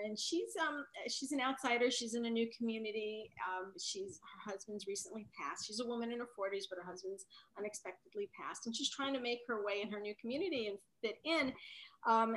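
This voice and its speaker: A woman's voice: on the high side, brisk, a bit bright, rough recording. Woman novelist, italian first language, chatting in an interview, kinda hesitant, keeps rephrasing stuff. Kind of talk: casual conversational interview.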